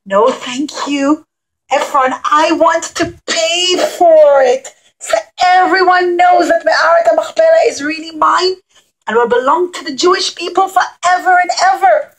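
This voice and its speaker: Kindly, pious old man for a puppet story, played by a woman: high voice, earnest exclamations. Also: unhurried, low-quality recording. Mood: earnest, determined.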